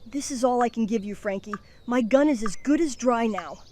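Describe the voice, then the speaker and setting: Eager cartoon kid sidekick woman actor, high and lively-pitched, excitable, with noise in the background. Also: normal speed, lightly acted. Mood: resigned. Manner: excitable, animated. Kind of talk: fan comic dub voice acting.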